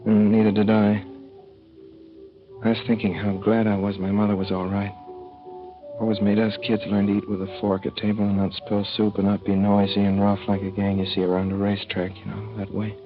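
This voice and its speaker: A man's voice: on the low side, monotone, brisk, lightly acted. An actor playing an earnest, lovesick young racetrack worker, telling his story in folksy slang for a 1930s radio drama. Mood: wistful. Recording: dull old radio recording with music.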